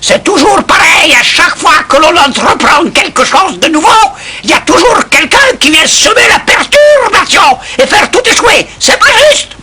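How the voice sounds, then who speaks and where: A man voicing an excitable, vain, grumbling parrot in a French cartoon dub, with a shrill squawking delivery and theatrical indignation. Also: normal speed, few pauses, heavily acted. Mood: indignant grumbling.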